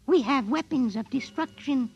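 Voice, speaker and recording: Creaky, high-pitched tiny alien doll. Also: female voice, normal speed, a little mellow, lo-fi sound. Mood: smug menace. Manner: precise, formal, smugly threatening. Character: tiny menacing alien posing as a living doll. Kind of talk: 1950s radio drama acting. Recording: vintage radio drama with music.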